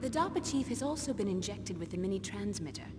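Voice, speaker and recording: An actress playing a poised civilian doctor in a video game, polite with a light teasing edge. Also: female voice, mid-range voice, lively intonation, fast talker, natural voice. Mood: businesslike. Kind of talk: dramatic video game voice acting. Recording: noisy, long reverberant hall sound.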